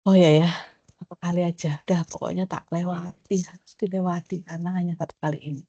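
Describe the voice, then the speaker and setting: A woman, reflecting on her doctoral journey in a personal talk to a research group, with many pauses and fillers. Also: on the low side, steady tone, dark tone, slightly rough, no echo. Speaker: doctoral graduate sharing her experience. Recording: dull lo-fi call audio.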